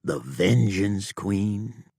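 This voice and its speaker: A man voicing a cool, taunting male bounty hunter with a slow drawl in an audiobook. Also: on the low side, expressive, creaky, warm tone, husky, no room sound, clean studio sound, pitched above the performer's own voice, exaggerated voice. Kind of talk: audiobook character voice.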